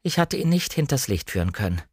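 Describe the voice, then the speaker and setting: A male audiobook narrator with a mid-pitched voice reads literary first-person prose. He is composed and careful, and the sound is dry and close. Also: big pitch swings, fast, a little mellow, slightly rough, no echo.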